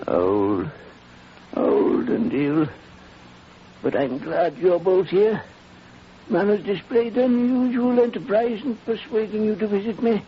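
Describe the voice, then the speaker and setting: An ailing old man confessing on his deathbed in an old radio play. He speaks very slowly and gravely, with a creaky edge, heavy with remorse. The recording is muffled and hissy. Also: high-pitched, expressive, full character voice. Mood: weary, grave. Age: older. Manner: slow, confessional, weighty delivery. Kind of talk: 1940s radio drama acting.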